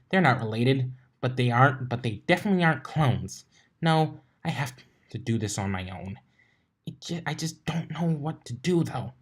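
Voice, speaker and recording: A man's voice: mid-range voice, pitched above the performer's own voice, natural voice. A man voicing an anxious, frustrated young female pony scholar who explains things fretfully in a story reading.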